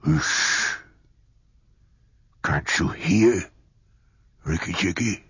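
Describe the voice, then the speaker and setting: Cowering muskrat, put on by a man, raspy and pleading, with a dry close sound. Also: deep voice, drawn-out words, a bit bright, no room sound, heavily acted. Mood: fretful. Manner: fretful, pleading. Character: timid, fearful male muskrat.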